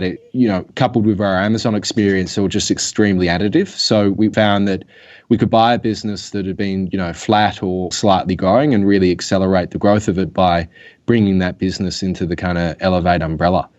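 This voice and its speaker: A man with an Australian accent, a guest on a business interview calling in remotely, talking in long relaxed runs with many fillers. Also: low voice, fairly quick, highs cut off. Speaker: guest, acquisitions executive. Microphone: remote call.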